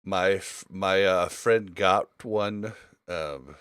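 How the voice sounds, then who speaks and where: Low, dry-sounding man chatting casually. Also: fairly bright tone, clean tone, dry recording. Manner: informal, thinking aloud in halting phrases. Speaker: podcast host. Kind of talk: casual gaming podcast chat.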